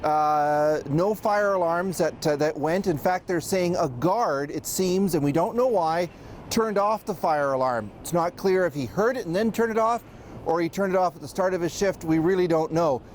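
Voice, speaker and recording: A man with a notably high voice reports live as a news correspondent. He speaks off the cuff and haltingly, with many hesitations and restarts. Faint noise sits behind him. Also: darkish tone.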